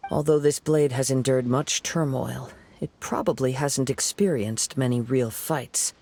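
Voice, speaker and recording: An actor voicing a calm young wandering samurai in a game cutscene, speaking in a measured, earnest tone. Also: male voice, mid-range voice, creaky, slight background noise.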